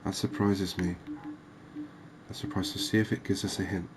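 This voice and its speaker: A soft-spoken man giving ASMR gameplay commentary, thinking aloud with pauses. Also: deep voice, level tone, background noise. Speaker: gaming video host.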